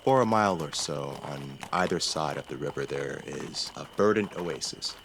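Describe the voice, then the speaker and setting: An actor playing a courtly, calculating young nobleman in a fantasy audio drama, speaking formally, deliberately and politely. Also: extremely low pitch, expressive, vocal fry, fairly bright tone, a little hiss or hum, heavily acted. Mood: courteous, measured.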